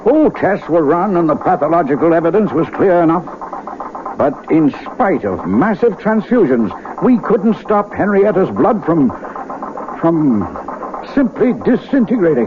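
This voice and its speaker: A man's voice: high-pitched, very animated, measured pace. A man acting a weary, ageing doctor in a radio drama, folksy and ruminative. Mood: troubled, musing. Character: weary, troubled male small-town doctor, getting older. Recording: tinny, noisy vintage radio broadcast.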